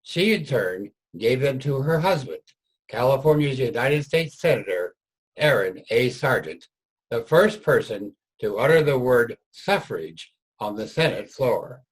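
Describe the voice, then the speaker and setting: A man's voice: mid-range voice, expressive, measured pace, breathy edge, low-quality recording. A man narrating a history play from a script in a reader's theater production.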